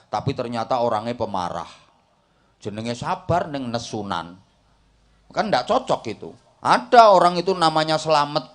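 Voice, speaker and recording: Javanese-accented man preaching with folksy humour. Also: medium pitch. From Java, Indonesia. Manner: jokey, folksy, rhetorical, checks listeners understand. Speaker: Islamic preacher giving a talk to a congregation. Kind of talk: humorous sermon, mixing Indonesian and Javanese.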